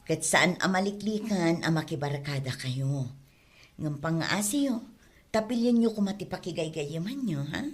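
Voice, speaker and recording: Woman acting a caring, lower-voiced mother. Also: lively intonation, a little slow. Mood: gently admonishing. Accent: Ilocano. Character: caring, admonishing mother of teenagers. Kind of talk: Ilocano audio drama acting.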